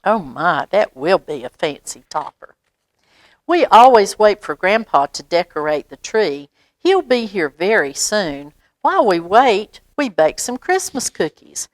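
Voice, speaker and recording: A woman's voice: medium pitch, very animated, unhurried, no echo. An American woman reading a children's picture book aloud, drawing words out expressively and adding little explanatory asides for young listeners. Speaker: library storytime reader.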